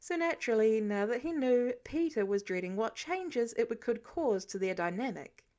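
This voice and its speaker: A woman's voice: a little high, lively intonation, normal speed, mellow. A woman narrating fiction as a scripted audiobook reading.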